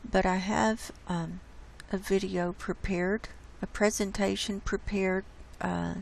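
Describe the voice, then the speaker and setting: A woman host with a hoarse voice, casually walking viewers through data shown on her screen. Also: medium pitch, fairly even intonation, slight background noise. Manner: unhurried, explains data on screen. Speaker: channel host presenting climate data. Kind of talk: casual screen-share presentation.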